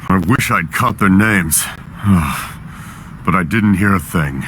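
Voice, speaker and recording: A man's voice: sits low, takes it slowly, a bit bright, a little hiss or hum. An actor voicing an old, kindly father who is hard of hearing, worried and apologetic, in a game. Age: older. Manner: worried, apologetic, tired sighs. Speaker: game character. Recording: game audio with music behind.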